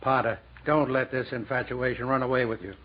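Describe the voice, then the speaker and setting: An actor in a 1940s radio drama playing a grumbling, exasperated, tight-fisted department store owner. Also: male voice, medium pitch, steady tone, fairly quick, slightly rough, close to an everyday voice. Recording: dull 1940s radio recording.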